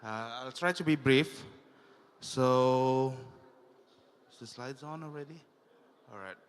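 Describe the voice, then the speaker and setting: A man speaks at a conference in a smooth, resonant voice, measured and pausing often. His words trail into the long reverb of a large hall. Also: medium pitch. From Indonesia. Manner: measured, with frequent "uh" pauses. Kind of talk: corporate conference talk.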